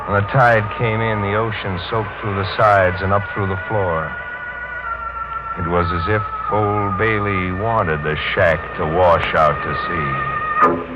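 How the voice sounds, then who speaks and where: Tough haunted sailor in 40s radio mystery, deep-ish, insistent, muffled old sound. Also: male voice. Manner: hard-boiled, insistent. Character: haunted tough sailor back from apparent death. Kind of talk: 1940s radio mystery acting, first-person noir. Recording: dull old radio recording, noisy.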